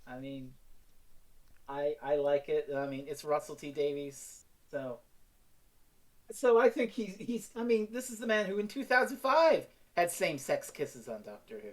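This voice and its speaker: A woman's voice: low voice, animated, moderate pace. A woman chatting casually and jokingly on a panel, exclamatory and prone to swearing.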